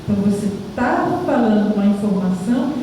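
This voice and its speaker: A woman's voice: mid-range voice, animated, a little fast, warm tone. A Brazilian woman giving an informal educational talk, explaining conversationally with rhetorical questions and frequent checks with listeners. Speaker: lecturer. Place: large echoey indoor room. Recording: very echoey hall, distant mic, faint noise.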